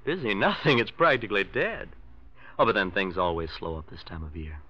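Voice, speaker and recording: An actor playing a scheming, hypocritical nephew who feigns friendly concern, in 1940s radio drama style. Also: male voice, low voice, fairly quick, bright, forceful tone. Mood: insinuating. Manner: falsely casual, insinuating. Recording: dull old radio recording.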